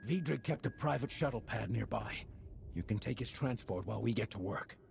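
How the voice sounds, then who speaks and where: An actor playing a weary, aggrieved construction foreman in a video game, with a gruff and blunt way of talking. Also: on the low side, lively intonation, brisk, a little mellow, faint music behind. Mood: resolute. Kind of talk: video game cutscene acting.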